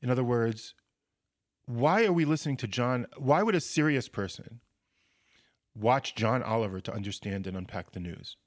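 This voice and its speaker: A man's voice: medium pitch, expressive, normal speed, dry recording, studio quality. A man co-hosting a podcast, asking probing questions in an earnest, somewhat frustrated way. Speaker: podcast co-host. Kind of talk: podcast conversation.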